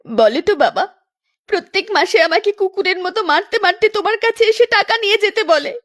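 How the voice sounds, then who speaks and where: A woman voices a long-suffering young woman from a cartoon melodrama. Her voice is extremely high and girlish. She speaks plaintively and earnestly, as someone betrayed in love. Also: big pitch swings, quick pace. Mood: pained, reproachful. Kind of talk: animated melodrama acting.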